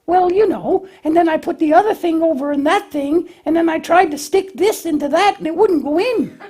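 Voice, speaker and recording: A man's voice: extremely high pitch, moderate pace, darkish tone, pitched above the performer's own voice. A man voicing a naive, eager, bumbling young lamb puppet in a children's show, with a hesitant, folksy, childlike way of explaining. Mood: puzzled.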